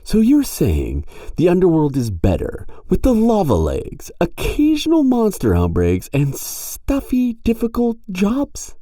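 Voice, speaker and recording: A prim angel of heaven, played by a man, pitched well up and swooping through an audio drama. The speech is formal and a little prissy, easily indignant yet earnestly persuasive. It sounds close and dry. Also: huge pitch swings, unhurried, few pauses, dark tone, dry recording, exaggerated voice. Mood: indignant, skeptical. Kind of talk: audio roleplay acting.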